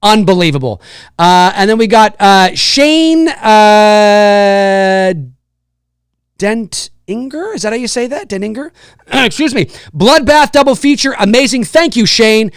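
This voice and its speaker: Excitable hoarse man hosting a livestream. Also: high voice, measured pace, dry recording, studio quality. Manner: excitable, loud shout-outs, many restarts and fillers. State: hoarse, losing his voice. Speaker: livestream host. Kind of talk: casual live-stream chat.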